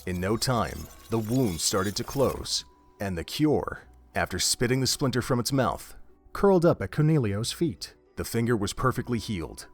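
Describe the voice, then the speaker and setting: Low-pitched male story narrator. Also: big pitch swings, moderate pace, faint music behind. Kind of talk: scripted audio drama narration.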